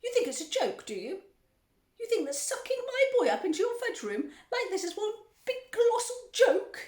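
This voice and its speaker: A woman's voice: extremely high pitch, wildly swooping pitch, slow, a bit bright, reverberant, voice pushed up, heavily acted. A woman voicing a hysterical, bossy mother in a storybook, screeching with panicked indignation. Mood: outraged.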